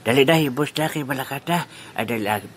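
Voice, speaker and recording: A man's voice: medium pitch, fast, close to an everyday voice. A man with a Western Visayan Filipino accent playing a teasing, chuckling buddy in a comic audio drama. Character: teasing, chuckling male drinking buddy in a comedy. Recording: noisy recording with clicks, rooster crowing.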